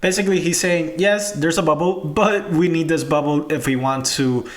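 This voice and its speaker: A man hosting a casual commentary video, slightly hoarse from a cold, upbeat and chatty. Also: fairly high pitch, normal speed, a little hiss or hum. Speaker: crypto video host.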